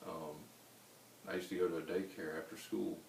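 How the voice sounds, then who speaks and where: Low-voiced baritone man, roomy distant sound. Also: steady tone, creaky, a little mellow. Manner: unhurried, rambling storytelling. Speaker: singer-songwriter guest. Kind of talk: casual interview answers. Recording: roomy, distant mic with faint hiss.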